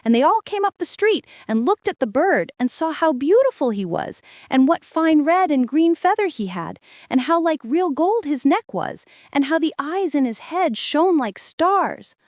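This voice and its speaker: Lady reading a bedtime fairy tale, high lively voice, super dry close mic. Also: dry recording. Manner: slow, expressive bedtime reading. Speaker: storyteller. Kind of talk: storybook read-aloud.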